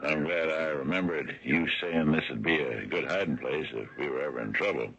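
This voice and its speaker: A man's voice: a bass voice, fairly quick, a bit bright. A man playing a skeptical, loyal small-town American sheriff in a radio drama, folksy and a little hesitant. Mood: uneasy, friendly. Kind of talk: 1980s radio drama acting. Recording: dull, noisy old radio broadcast.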